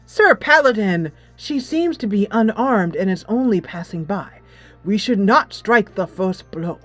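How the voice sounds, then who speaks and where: A woman's voice: medium pitch, lively intonation, measured pace, dry recording, faint music behind. A woman voicing a noble, cautious heroic adventurer in a fantasy game, speaking formally and chivalrously. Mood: earnest.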